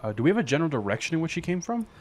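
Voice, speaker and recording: A man's voice: fairly high pitch, very animated, rapid-fire, darkish tone. A man talking casually as a player in a tabletop game, describing his character's moves. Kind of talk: casual improvised game chat.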